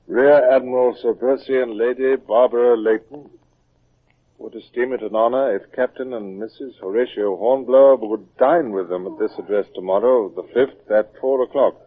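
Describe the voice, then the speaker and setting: An actor playing a stern but humane British naval captain in an old-time radio drama, with a commanding, authoritative manner. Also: male voice, medium pitch, moderate pace, close to an everyday voice. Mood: brooding, reflective. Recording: muffled, narrow old radio recording.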